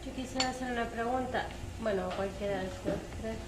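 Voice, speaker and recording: A woman in the audience asking a spontaneous question, searching haltingly for her words. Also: fairly high pitch. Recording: echoey room, very loud noise, clicks.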